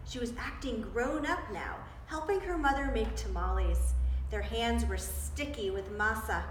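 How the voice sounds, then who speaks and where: A woman reading a children's storybook aloud in an animated, dramatic way, pausing to put questions to her young listeners. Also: fairly high pitch. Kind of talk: expressive children's storybook read-aloud with asides. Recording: roomy echo, background noise.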